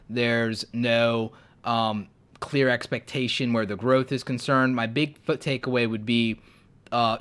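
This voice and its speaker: A man's voice: mid-range voice, a bit bright. Sick man losing his voice. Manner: frank, thinking aloud with many ums and restarts. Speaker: YouTube creator hosting live Q&A and channel reviews. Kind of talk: casual live-stream advice.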